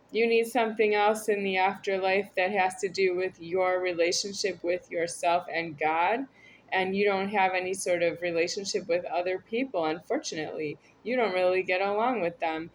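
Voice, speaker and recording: A woman's voice: lowish voice, slight background noise, low-quality recording. A woman talking to camera in a meandering, repetitive, earnest monologue. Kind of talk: rambling spoken monologue to camera.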